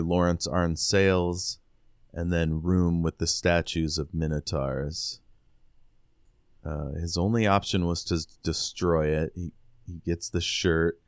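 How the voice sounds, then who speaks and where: A man co-hosting a podcast, casually recapping and discussing a book in a halting way. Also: sits low, clean tone, no room sound, studio quality. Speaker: podcast co-host.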